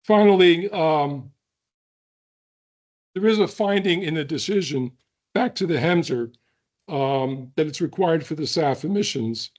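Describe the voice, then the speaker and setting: Hoarse man giving earnest public comment. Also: on the high side, lively intonation, a little mellow. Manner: earnest, deliberate, reads technical points with pauses and throat clearing. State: hoarse, losing his voice. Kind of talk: prepared public comment at a hearing.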